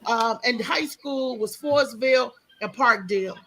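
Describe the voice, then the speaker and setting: A woman's voice: fairly high pitch, normal speed, pushed and bright, rough recording. An American woman hosting a live morning show in casual, chatty talk, often repeating her words. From Maryland, United States.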